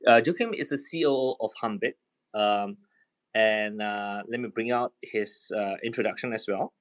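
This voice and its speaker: A man hosting and moderating a casual panel chat. He talks in a relaxed, chatty way with plenty of fillers. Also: lowish voice, normal speed. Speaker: host and moderator.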